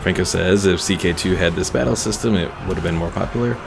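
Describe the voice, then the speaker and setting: A man's voice: deep voice, quick pace, creaky. A man streaming a strategy game, giving easygoing commentary and reading viewer chat aloud. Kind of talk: live gameplay commentary. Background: game music.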